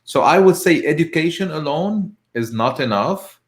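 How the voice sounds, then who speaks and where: A man's voice: fairly high pitch, lively intonation, moderate pace, echoey room. A man from Lebanon hosting a live discussion, a university professor who talks earnestly and emphatically, sometimes searching for words.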